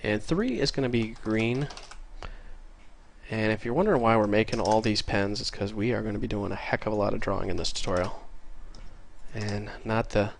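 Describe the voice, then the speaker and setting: A man with a stuffy, congested voice from a cold walks through code in a relaxed, chatty way, lighting up over small wins. His fairly low voice has a gravelly edge. Faint keyboard clicking sits behind him. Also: moderate pace, slight background noise. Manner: casual, easily excited, chatty. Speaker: programming tutorial host. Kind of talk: screen-recorded coding tutorial. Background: faint keyboard typing.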